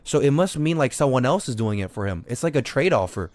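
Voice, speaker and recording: A man's voice: mid-range voice, expressive, a little fast, darkish tone, no room sound. A man live streaming a game with unscripted commentary, arguing insistently, repeating himself and swearing casually. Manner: argumentative, repetitive, swears casually.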